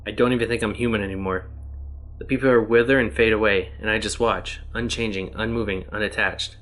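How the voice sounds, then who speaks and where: A worn-out man lost for countless years in a strange world, his words coming low and steady, as a man reads him in his own voice. The tone sits a little deep and never strains. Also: brisk, natural voice. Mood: solemn. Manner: plain, even reading of written tablets. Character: weary male test subject lost ages in another world.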